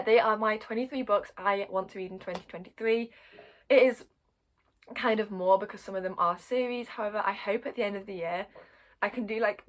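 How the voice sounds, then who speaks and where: A young British woman with a croaky voice, chatting excitedly to camera as a book vlogger in a converted attic, giggling and correcting herself as she rambles. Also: mid-range voice. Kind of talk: casual chat to camera.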